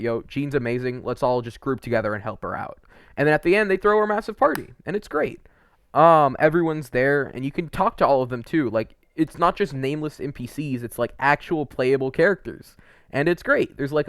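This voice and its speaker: A man's voice: fairly high pitch, no room sound, clean studio sound. A young adult man giving casual, opinionated video game commentary in an informal, slangy, rambling way.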